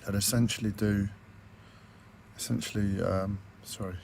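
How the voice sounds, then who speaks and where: A man with a croaky, hoarse voice, talking hesitantly with many fillers and restarts in a personal video diary recorded on a tablet. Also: fairly low pitch, flat delivery, moderate pace, a little mellow, a little hiss or hum. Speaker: vlogger.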